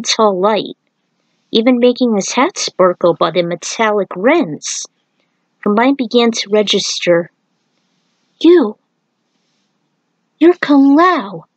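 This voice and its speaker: A woman narrates an amateur fan fiction story and speaks as its writer. Her pitch is mid-range for a woman. The recording is dry, with very little room sound. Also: dry recording, poor audio.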